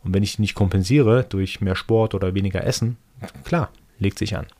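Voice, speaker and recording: A man from Iran whose first language is Persian, hosting a casual solo podcast and thinking aloud in a relaxed way. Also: on the low side, brisk. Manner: relaxed, thinking aloud with restarts and soft laughs. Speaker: podcast host.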